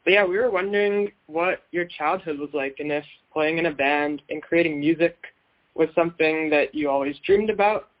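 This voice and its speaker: A man's voice: on the high side, moderate pace, muffled recording. A man hosting an interview, introducing his guest and asking friendly, prepared questions. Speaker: interviewer host. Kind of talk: podcast interview.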